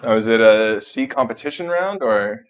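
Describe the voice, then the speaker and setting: Relaxed man of thirty, rambling. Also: mid-range voice, moderate pace. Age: 30s. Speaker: golf stream guest. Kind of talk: casual chat while playing golf. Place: outdoors on a golf course.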